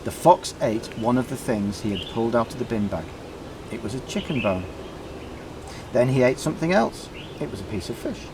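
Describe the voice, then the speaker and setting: A man reading a children's story aloud in short, deliberately emphasised phrases. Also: medium pitch, lively intonation, background noise. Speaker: storybook reader.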